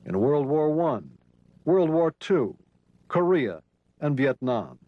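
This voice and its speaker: A man with a slightly high voice narrates an instructional training film from a script. The 1990s soundtrack carries a light layer of noise behind him. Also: sing-song, takes it slowly, highs cut off.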